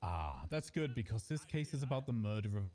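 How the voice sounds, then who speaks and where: A man's voice: mid-range voice, very animated, fairly quick, dark tone, close to an everyday voice. A man playing a patient, formal judge who presides courteously over a sentencing in improvised roleplay.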